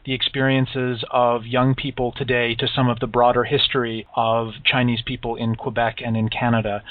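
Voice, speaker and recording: A man's voice: mid-range voice, no room sound, phone-quality sound. A man hosting a radio interview and asking questions in a plain, conversational way.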